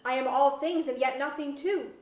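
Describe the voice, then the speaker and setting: A woman's voice: high-pitched, moderate pace, a little mellow, natural voice. A woman playing a brooding, genderless magical royal in archaic verse at a staged reading. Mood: anguished, questioning. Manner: grand archaic verse delivery, self-questioning. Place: museum auditorium. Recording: distant microphone in echoey auditorium.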